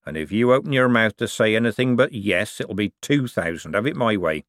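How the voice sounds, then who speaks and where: A man doing a gruff, sly old forger. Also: on the low side, animated, brisk, a bit bright, no room sound, studio quality. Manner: growling, coarse working-class speech.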